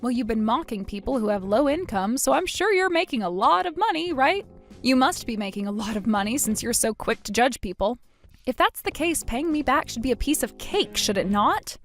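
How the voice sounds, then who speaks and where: A woman acts out an icy, scornful woman in a storybook drama who turns down every plea with biting sarcasm. Her voice sits a little high and stays composed. Soft music plays faintly behind her. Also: animated, fairly quick. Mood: mocking. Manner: cutting and sarcastic. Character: cold, scornful adult woman refusing help.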